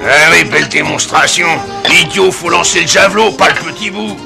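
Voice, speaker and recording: A bossy cave man chief in a cartoon, scornful toward his helper. His voice is gravelly and mid-pitched, and music plays behind. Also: moderate pace. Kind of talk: French cartoon dub.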